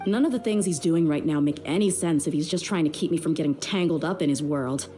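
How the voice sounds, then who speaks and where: A woman's voice: sits low, fast talker, dark tone, soft background music, close to an everyday voice. An actress playing a tough young woman mercenary in a video game, blunt and world-weary, with a guarded, hurt edge. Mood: bitter, frustrated. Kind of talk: dramatic video game dialogue.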